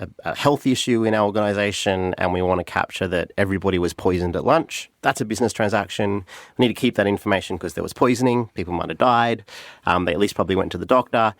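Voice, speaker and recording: A low, gravelly-voiced Australian man talking through his points on a podcast. He explains carefully, with plenty of "um"s and restarts. The sound is close and dry, with no room around it. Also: dry recording. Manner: thoughtful, explanatory, with fillers and restarts. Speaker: podcast guest, company CTO. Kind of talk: conversational podcast interview.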